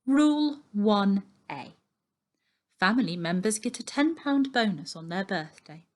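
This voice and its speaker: A woman voicing a firm, bossy aunt who is kind underneath, speaking briskly in a storybook reading. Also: mid-range voice, lively intonation, lightly acted. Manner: brisk, commanding.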